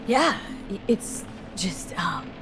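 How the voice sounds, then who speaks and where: An actress playing a wary, defiant, foul-mouthed young mercenary in a video game, speaking in a clipped, streetwise, sardonic way. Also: female voice, medium pitch, very animated, takes it slowly, poor audio. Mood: pained, strained. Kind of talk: video game dialogue acting. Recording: music behind the voice.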